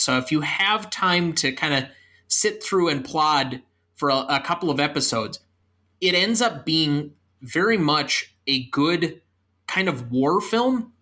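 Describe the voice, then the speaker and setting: Bright-voiced young man hosting a podcast. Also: fairly high pitch. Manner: earnest and explanatory, then brisk read-out. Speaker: podcast host. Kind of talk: casual podcast chat and scripted outro.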